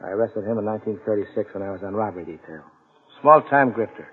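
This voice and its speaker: A man's voice: fairly low pitch, animated, fast talker, close to an everyday voice. An actor playing a middle-aged police lieutenant, clipped and matter-of-fact, narrating his case in vintage radio crime drama style. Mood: terse, businesslike. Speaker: detective narrator. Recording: old radio recording, narrow band, music behind.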